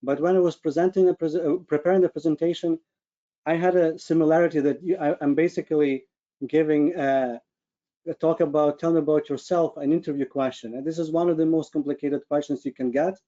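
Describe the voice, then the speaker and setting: A man's voice: on the high side, steady tone, fairly quick, mellow, lo-fi sound. A man from Ukraine with a Ukrainian accent gives an informal personal presentation, searching for his words in an earnest way. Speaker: webinar speaker, medical physicist. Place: online video call with screen share.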